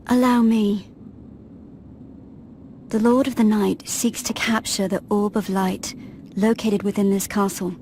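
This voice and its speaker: A woman's voice: medium pitch, level tone, measured pace, long pauses, a little mellow, natural voice. Game role: woman as courteous, composed counsellor, serious, slight background noise. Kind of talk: dramatic video game cutscene acting.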